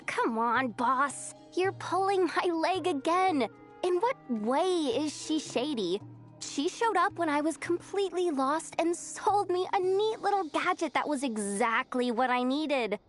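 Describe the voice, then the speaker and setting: An actress playing a young, trusting female underling in a video game cutscene, casual and expressive in her delivery. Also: sits high, a little slow, faint music behind. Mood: exasperated, defensive.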